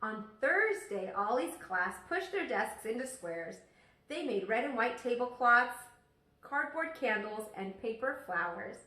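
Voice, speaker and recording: Teacher lady reading a kids book out loud, higher voice, super animated, roomy sound far from the mic. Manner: expressive, pauses to ask listeners questions. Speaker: teacher reading aloud to pupils. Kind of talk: animated read-aloud of a children's story. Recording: echoey room, distant microphone.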